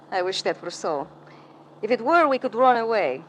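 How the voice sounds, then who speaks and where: An actress playing an elegant, wistful ballerina with formal, melodramatic speech in a 1940s radio drama. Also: female voice, mid-range voice, big pitch swings, a little slow. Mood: weary, resigned. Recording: noisy old radio recording.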